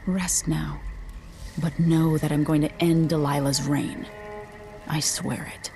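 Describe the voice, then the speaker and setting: A woman playing a determined, brooding royal heroine in a video game, speaking in a hushed, resolute way. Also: low voice, flat delivery, slow, vocal fry, soft background music, exaggerated voice. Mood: solemn, resolute. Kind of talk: understated game protagonist dialogue.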